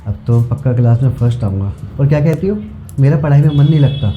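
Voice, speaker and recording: A man's voice: medium pitch, lively intonation, quick pace, muffled recording, soft background music, lightly acted. A man playing a sneaky, easily cowed young male student in an acted short drama. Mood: smug. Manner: deferential, repeating madam.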